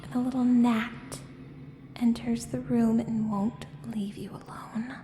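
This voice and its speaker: Slow, breathy female contract killer, acted by a woman. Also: on the high side, level tone. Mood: silky menace. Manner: slow, deliberate, hushed and breathy, with pointed pauses. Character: menacing, coolly seductive female contract killer. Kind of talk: ASMR audio roleplay monologue. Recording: roomy long reverb, clear background noise.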